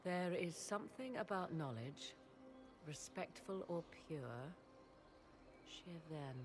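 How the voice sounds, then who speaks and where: Grave learned witch from a fantasy game, low slow voice, old-fashioned formal wording, bit of music behind. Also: expressive. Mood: grave, intrigued. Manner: formal, archaic, measured speech. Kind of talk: dramatic fantasy game acting.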